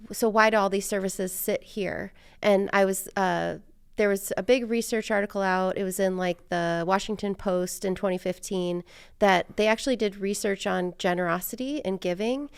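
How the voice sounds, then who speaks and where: A woman's voice: medium pitch, smooth, dry recording, studio quality. An American woman hosting a podcast, chatting casually and informally with lots of "like" sprinkled in. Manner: conversational, informal, frequent use of "like". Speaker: podcast host. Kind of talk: casual interview chat.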